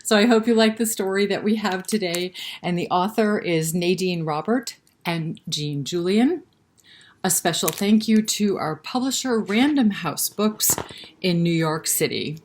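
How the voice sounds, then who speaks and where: A woman's voice: on the low side, a little slow, slight background noise. A woman hosting a library story time, reading a picture book expressively and chatting to young listeners with questions. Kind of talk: picture book read-aloud with asides to children.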